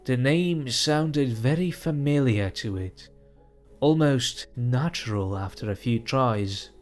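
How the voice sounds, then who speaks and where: Slow, measured male story reader. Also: mid-range voice, soft background music. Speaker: narrator. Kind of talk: reading a fantasy story aloud.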